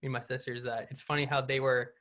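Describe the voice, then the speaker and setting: A man's voice: mid-range voice, little pitch movement, fast talker, fairly bright tone. A young man in his twenties hosting an interview podcast, talking in a friendly, conversational way with a few fillers. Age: 20s. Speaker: podcast host. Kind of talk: casual interview.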